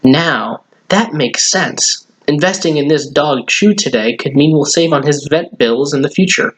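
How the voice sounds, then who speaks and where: A man's voice: on the high side, measured pace, close to an everyday voice. A man voicing a firm, sensible, exasperated mother who scolds matter-of-factly in a storybook reading. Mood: explaining.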